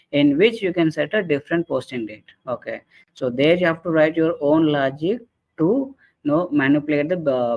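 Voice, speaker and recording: Male webinar trainer, slightly high voice, halting explanatory delivery with frequent check-ins, muffled lo-fi sound. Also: darkish tone. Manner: halting, repeatedly checks with "right?" and "okay". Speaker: webinar presenter, software trainer. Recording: dull lo-fi webinar audio.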